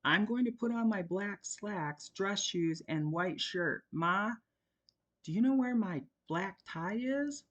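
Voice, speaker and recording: A reader doing a cheeky teenage boy, high and teasing. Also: expressive, slow, fairly bright tone. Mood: rushed. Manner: teasing, playful.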